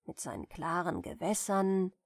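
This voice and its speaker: A woman narrator softly reading a bedtime story in a gentle, soothing manner. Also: lowish voice, big pitch swings, creaky, dry recording, clean studio sound. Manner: gentle, soothing, unhurried delivery. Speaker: audiobook narrator.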